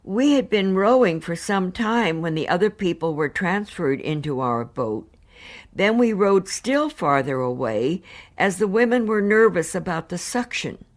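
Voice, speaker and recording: An actress playing a married woman survivor, giving composed, earnest testimony in a measured, formal, precise way. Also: lowish voice. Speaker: actor reading witness testimony. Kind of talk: dramatised reading of hearing testimony.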